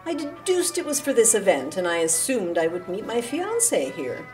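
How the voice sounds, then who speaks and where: A woman's voice: mid-range voice, wildly swooping pitch, moderate pace, darkish tone, soft background music. A woman playing an earnest, anxious fiancée in a period audio drama, polite, hesitant and pleading. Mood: earnest, embarrassed. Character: earnest, anxious American fiancée searching for her betrothed.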